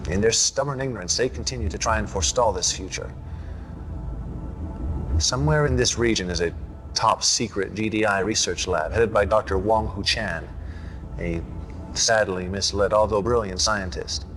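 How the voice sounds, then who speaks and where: An actor playing a calm, menacing cult leader in a video game, briefing his commander in a measured, commanding, slyly condescending way. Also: fairly low pitch, soft background music, close to an everyday voice. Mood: cold, commanding. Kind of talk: scripted video game cutscene acting.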